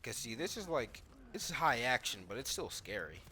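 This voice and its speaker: A man's voice: mid-range voice, sing-song, moderate pace, bright, forceful tone, a little hiss or hum. A man giving casual reaction commentary on video game footage, talking informally and thinking aloud as a gaming YouTuber.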